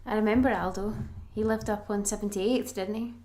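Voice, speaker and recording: An actress playing a quiet, reserved young granddaughter in an audio play, giving brief, subdued replies. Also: female voice, medium pitch, steady tone, a little fast, close to an everyday voice.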